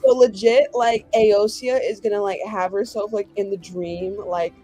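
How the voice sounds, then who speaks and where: Tabletop player, informal and playful, improvised game talk, medium pitch, light music bed. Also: fairly even intonation, normal speed, mellow. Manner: informal, playful, salty language. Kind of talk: casual improvised game chat, speaking in character and narrating actions.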